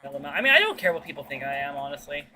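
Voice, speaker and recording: A woman's voice: quick pace, a little hiss or hum. A woman chatting casually as a streamer, telling stories in a slangy, sweary way and acting out what people said. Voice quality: fairly deep-sounding for a woman. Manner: slangy, sweary, animated retelling with acted-out quotes. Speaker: streamer chatting with viewers while gaming. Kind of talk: casual chat, rambling storytelling.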